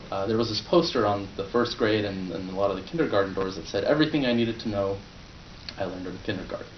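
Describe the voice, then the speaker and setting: Polish-born young man, native Polish speaker, low voice, casual prepared speech, distant roomy dull recording. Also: a little hiss or hum. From Poland. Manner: conversational, with fillers and restarts. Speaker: club speaker.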